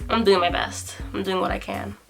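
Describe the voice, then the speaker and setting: A woman's voice: medium pitch, little pitch movement, normal speed, creaky, loud music behind the voice. A young woman talking through a makeup tutorial at home, chatty and self-deprecating, thinking aloud as she works on camera. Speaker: beauty vlogger.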